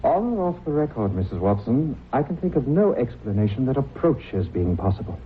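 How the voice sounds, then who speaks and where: An actor playing a grave, courteous British navy captain in a vintage radio drama, speaking in a formal, measured, precise way. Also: male voice, mid-range voice, sing-song, lightly acted. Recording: tinny, noisy old radio recording.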